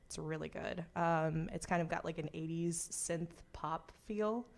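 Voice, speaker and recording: A woman's voice: sits low, fairly even intonation, a little slow, vocal fry. A young American woman chatting casually on air as a radio host.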